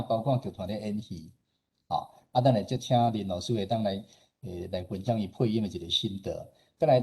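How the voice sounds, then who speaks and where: A man with a Taiwanese accent and husky voice, giving an informal presentation as a radio host. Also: on the low side, a little mellow. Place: online meeting presentation.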